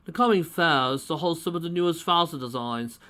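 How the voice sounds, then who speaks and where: A woman's voice: low-pitched, brisk, a bit bright, voice pushed up. A woman putting on a haughty older fashion snob. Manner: haughty, rolls his Rs.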